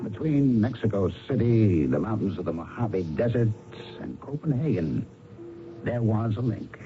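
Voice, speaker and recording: An actor playing a polite, persistent investigator with tactful, probing delivery in an old-time radio drama. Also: male voice, lowish voice, lively intonation, normal speed, music in the background, close to an everyday voice. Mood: brooding narration. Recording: dull, noisy old radio recording.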